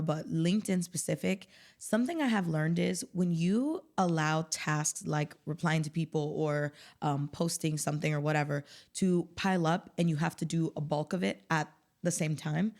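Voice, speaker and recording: A woman with a fairly low voice speaks as a guest on a relaxed podcast. She gives practical, step-by-step advice in a conversational way, with frequent "like" and "um" fillers. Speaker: podcast guest, marketing specialist.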